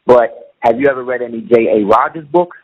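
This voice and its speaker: A man's voice: mid-range voice, a little fast. A middle-aged man talking in a casual conversation, emphatic and often asking if the listener follows him. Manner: emphatic, keeps asking "you see what I'm saying". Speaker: guest. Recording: muffled lo-fi audio.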